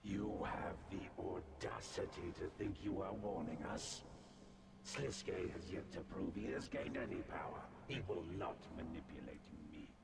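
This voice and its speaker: A man's voice: deep voice, measured pace, heavily acted. A man voicing a haughty, menacing god in a fantasy video game, imperious and scornful in manner. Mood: indignant. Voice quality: heavily gravelly. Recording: game audio under loud ambient noise.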